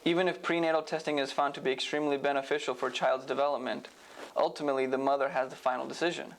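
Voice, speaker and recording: A man's voice: medium pitch, steady tone, rapid-fire, slight background noise, rough recording. A young adult man giving a scripted educational presentation as a medical student, in a formal, explanatory manner.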